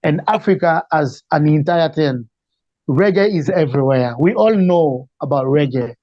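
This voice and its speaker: A man's voice: fairly high pitch, lively intonation, normal speed, no room sound, lo-fi sound. A man from Malawi with a Malawian accent, answering conversationally as a musician being interviewed. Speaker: interview guest, reggae singer.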